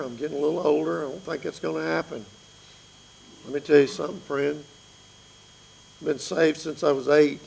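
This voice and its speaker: A man in his fifties with a raspy voice, preaching an earnest sermon that pleads with his listeners. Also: on the high side, low-quality recording.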